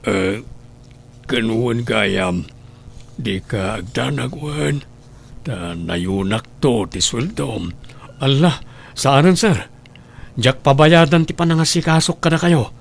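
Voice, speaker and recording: A man's voice: medium pitch, slow pace, creaky, pauses often. A man acting in an Ilocano radio drama. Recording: faint background noise.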